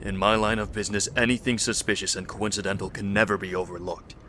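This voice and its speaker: Man playing a low-voiced suspicious protector, serious, deliberate, authoritative, audio drama, noticeable background noise. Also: level tone, sharp tone. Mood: grave, guarded. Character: guarded, suspicious man with security training.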